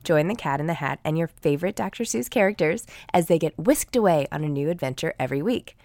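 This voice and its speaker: Woman reading kids podcast ad, low pitch, dry studio-ish sound, scripted. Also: animated, quick pace, darkish tone, dry recording. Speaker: podcast advertisement reader. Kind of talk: scripted family podcast promo.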